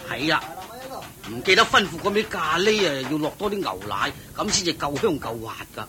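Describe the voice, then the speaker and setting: An actor playing a fussy, boastful, wealthy old head of a household in a radio drama, with a Hong Kong Cantonese accent, sighing and chuckling. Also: male voice, big pitch swings, fairly quick, crowd noise. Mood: fretful. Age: older. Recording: noisy old radio drama, low rumble.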